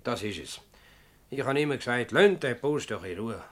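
A man playing a self-important farmer and village mayor in a Swiss German radio play, halting, complaining and self-justifying. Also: medium pitch, animated, a bit bright. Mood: defensive. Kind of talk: dialect radio drama acting.

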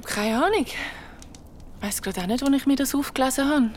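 Casual young woman, played by an actress, Swiss German, high lively voice, faint ambience, radio play. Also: moderate pace, slight background noise, lightly acted. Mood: breezy. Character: breezy, apologetic young woman arriving late. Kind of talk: audio drama acting.

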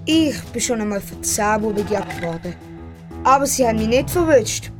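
Woman playing a boastful high-voiced young boy. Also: lively intonation, normal speed, over music, lightly acted. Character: boastful young boy Matze, easily impressed. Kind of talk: audio story dialogue for children.

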